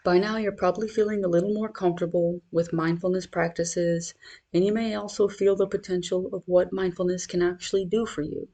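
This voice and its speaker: A meditation guide speaking in a measured, reassuring, instructional manner. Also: medium pitch, steady tone, warm tone.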